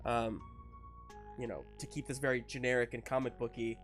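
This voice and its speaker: Guy game mastering a tabletop rpg, mid voice, informal n halting, bit of music under. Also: mid-range voice, level tone. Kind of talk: narrating a tabletop roleplaying game, improvised.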